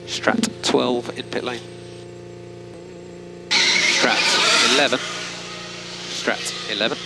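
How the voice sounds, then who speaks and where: A man's voice: mid-range voice, steady tone, very slow, gravelly, over loud music. A man working as a race engineer, giving clipped, matter-of-fact instructions and timing figures over team radio. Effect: team radio transmission. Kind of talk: terse race radio instructions and data readouts. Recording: noisy motor racing radio, vehicle noise.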